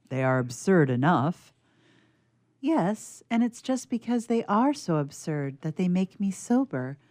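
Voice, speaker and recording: Woman acting as schoolgirl, earnest, theatrical radio drama, low-pitched, dry close sound. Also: warm tone, dry recording. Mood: teasing. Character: earnest, thoughtful teenage schoolgirl and her teasing, gentle friends. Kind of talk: stage-like radio drama reading.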